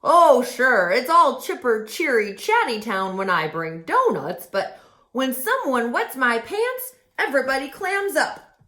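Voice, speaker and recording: A woman's voice: sits high, big pitch swings, unhurried, bright, forceful tone, full character voice. A woman voicing an indignant male bear who accuses his friends in a huffy, dramatic way. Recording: roomy echo.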